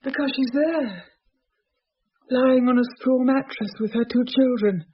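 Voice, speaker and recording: Slow, anguished possessed woman. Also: high-pitched, husky. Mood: eerie, entranced. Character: possessed woman voicing a starving, embittered dead mother. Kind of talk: horror radio drama acting. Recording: dull, lo-fi old radio recording.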